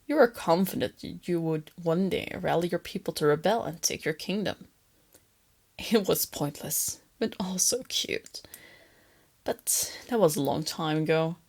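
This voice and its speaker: Breathy, low-pitched lamia captor, voiced by a woman. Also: unhurried. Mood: smug teasing. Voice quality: breathy, often dropping to a whisper. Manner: soft, taunting, condescending, intimate. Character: female lamia captor, teasing, smugly dominant, possessively tender. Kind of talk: ASMR roleplay monologue.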